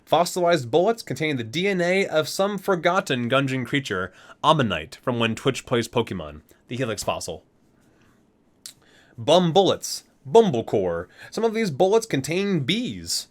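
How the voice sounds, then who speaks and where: Male ASMR reader, medium pitch, lively intonation, expressive recitation with offhand asides. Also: normal speed. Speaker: video creator reading aloud from a game guide book. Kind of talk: ASMR reading with casual asides.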